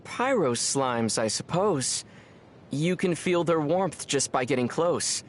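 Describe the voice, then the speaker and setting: A man playing a young traveler hero in a video game, calm and measured, with a wry, teasing manner. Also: fairly high pitch, a little hiss or hum. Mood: calm, thoughtful. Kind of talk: English video game voice acting. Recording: music behind the voice. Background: music.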